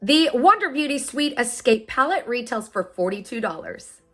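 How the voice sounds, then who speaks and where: A woman in her thirties talks brightly to her viewers, her fairly high voice roughened and stuffed up by a sinus infection. She keeps an upbeat, chatty vlog manner, with soft music faint underneath. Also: sing-song, normal speed. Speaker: beauty vlogger. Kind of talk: product unboxing review.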